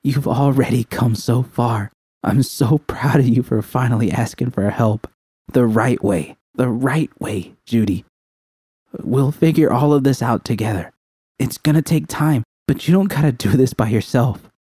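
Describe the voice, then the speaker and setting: A man reads as a steadfast, loving girlfriend in a dramatic story. The delivery is earnest and soothing, with a mellow tone and a close, dry sound. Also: medium pitch, measured pace, husky, no room sound, voice pushed up. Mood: tender reassurance. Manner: soothing, earnest, plain-spoken. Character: supportive, tender girlfriend, steadfast and reassuring.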